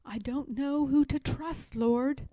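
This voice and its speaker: A storybook heroine fleeing bondage, played by a woman reading aloud, pleads fervently in a high, lifted voice. She sounds frightened yet devout, with every phrase pushed out in earnest prayer. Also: steady tone, slow, warm tone, no echo, studio quality. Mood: anxious. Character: Harriet, frightened devout enslaved young woman praying.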